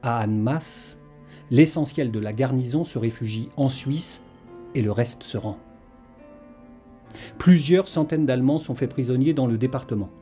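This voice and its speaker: A man's voice: medium pitch, moderate pace, long pauses, warm tone, soft background music. A man reading a scripted historical documentary narration as its narrator.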